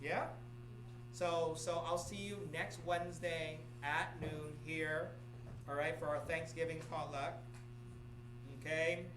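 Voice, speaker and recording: A man's voice: a little high, level tone, slow pace, noisy background. A middle-aged man from Vietnam gives announcements at an office meeting, repeating himself and often checking in with "okay?". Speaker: real estate office manager or broker.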